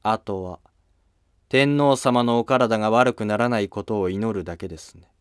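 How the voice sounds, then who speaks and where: A man's voice: lowish voice, normal speed, clean tone, dry recording, studio quality, lightly acted. A man voicing a conspiring younger sister at court, polite and insinuating. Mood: conspiratorial.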